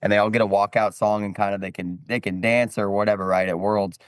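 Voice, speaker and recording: Male podcast guest, lowish voice, informal sports talk, dry close recording. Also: level tone, quick pace, clean tone, no room sound. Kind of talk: casual sports chat.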